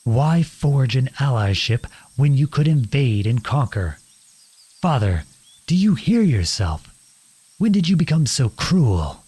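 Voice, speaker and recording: A man playing a defiant young outlawed prince in a dramatic audio roleplay, speaking earnestly and deliberately in a husky voice. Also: mid-range voice, sing-song, mellow, exaggerated voice. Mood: bitter, defiant. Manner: earnest, deliberate, pleading and accusing. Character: defiant outlawed young prince confronting his cruel king father.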